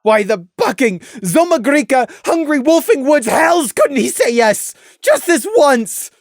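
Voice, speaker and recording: A grieving young pony stallion, as a woman puts him on, with a low, choked, pleading voice in a storybook reading. His pitch rises and falls with feeling, and the recording is dry and close. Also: very animated, extremely slow, gravelly, a little mellow, husky, dry recording, heavily acted. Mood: raging.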